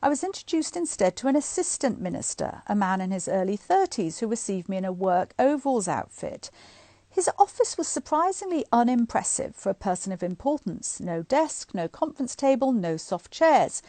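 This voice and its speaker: A middle-aged woman reading a book aloud to online listeners in an expressive, informal, chatty way. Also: a little high, moderate pace, no room sound. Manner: informal, chatty asides between expressive read passages. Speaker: guest reader of a bedtime story series. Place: indoors at home, on a live online stream.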